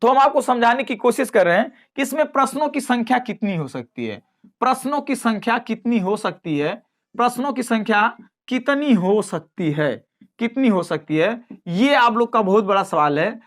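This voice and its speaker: A man's voice: extremely high pitch, animated, normal speed, a bit bright. A man teaching an online exam lesson, repeating phrases and checking with short questions as he explains.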